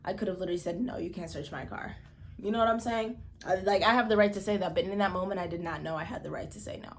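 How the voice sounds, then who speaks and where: Chatty young woman telling a story. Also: fast talker. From Malawi. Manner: chatty, expressive, acts out quotes with pitch jumps. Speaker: vlogger. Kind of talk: casual storytime vlog while eating.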